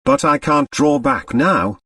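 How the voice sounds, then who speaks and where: A man's voice: fairly high pitch, huge pitch swings, slow, vocal fry, a bit bright, husky, no room sound, studio quality, distant mic, heavily acted. A man voicing a distraught, wealthy young married woman in an audiobook, agitated and confessional. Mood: anxious.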